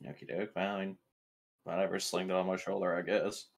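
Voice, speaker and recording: A man's voice: sits low, flat delivery, moderate pace, gravelly. A man chatting casually as a player in a tabletop roleplaying game. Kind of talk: casual gaming chat.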